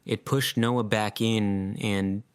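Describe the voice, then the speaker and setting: A man acting an earnest, guilt-ridden survivor in an audio drama, with halting, emotional delivery. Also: on the low side, steady tone, smooth, no echo. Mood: distraught.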